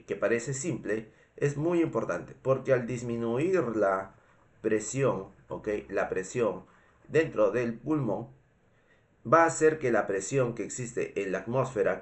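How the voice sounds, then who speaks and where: Male medical instructor, Peruvian accent, mid-pitched congested voice, informal and didactic, often checks understanding. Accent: Peruvian Spanish. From Peru. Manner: didactic, repetitive, frequent checking questions. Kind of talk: informal explanatory lecture.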